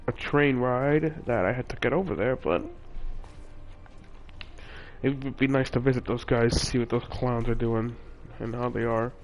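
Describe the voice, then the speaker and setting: A man's voice: medium pitch, unhurried, poor audio. A young man casually telling a personal story in a rambling way, with many fillers and restarts. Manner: rambling, with frequent fillers and self-corrections. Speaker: vlogger.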